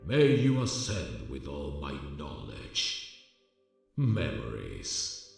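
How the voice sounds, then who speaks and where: A man acting a very deep, solemn holy lord. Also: huge pitch swings, gravelly, exaggerated voice. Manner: grave, deliberate, ceremonial phrasing. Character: solemn, benevolent male holy lord bestowing his power. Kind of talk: ceremonial audio drama acting. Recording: distant cavernous reverb, faint music.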